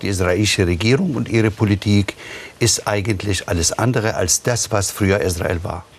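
An older man from the West Bank, a panel guest, speaking in a deliberate, halting way. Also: lowish voice, a little hiss or hum. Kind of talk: panel discussion.

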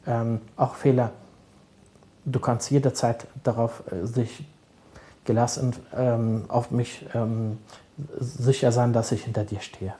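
A middle-aged man, a social worker, answering interview questions calmly and thoughtfully, often pausing to search for words. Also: medium pitch. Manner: calm, measured, searching for words with many fillers. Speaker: social worker advising fathers.

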